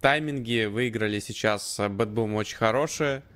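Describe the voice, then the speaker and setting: A man giving live commentary and analysis on a video game match in measured, short phrases. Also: on the low side. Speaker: esports commentator. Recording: clear game gunfire and noise behind.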